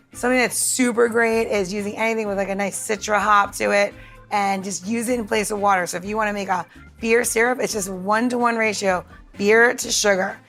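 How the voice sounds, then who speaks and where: A woman's voice: medium pitch, gravelly, a bit bright. A woman casually walking listeners through a recipe step by step, chatty and instructive. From United States. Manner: chatty, instructive, laughs easily. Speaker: cocktail tip presenter. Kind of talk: casual how-to demo.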